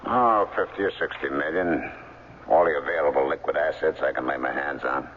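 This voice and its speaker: An actor in a 1970s radio drama playing a ruthless swindler with no conscience, speaking in formal, condescending phrases. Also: male voice, a bass voice, a little fast. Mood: smugly cool. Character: ruthless, conscienceless male swindler and captor, coolly menacing. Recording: dull 1970s radio recording, faint noise.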